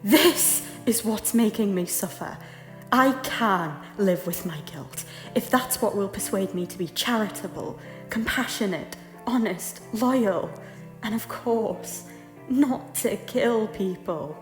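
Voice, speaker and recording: A woman's voice: fairly low pitch, normal speed, a little mellow, lightly acted. A woman playing a repentant former temptress, an earnest and defiant noble lady speaking formally in a theatrical audio drama. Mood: impassioned, frustrated. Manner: formal, archaic, impassioned speech. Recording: roomy echo, music underneath. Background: music.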